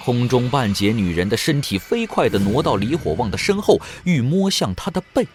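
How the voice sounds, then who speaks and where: A man's voice: mid-range voice, very animated, normal speed, a little hiss or hum. A man narrating an audio drama in a suspenseful, dramatic scripted storytelling style.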